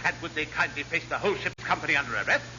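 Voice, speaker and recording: A man's voice: on the high side, rapid-fire, lo-fi sound, natural voice. A man acting a pompous, exasperated middle-aged English naval officer in a classic British radio comedy, spluttering with indignation. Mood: indignant. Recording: noisy old radio recording.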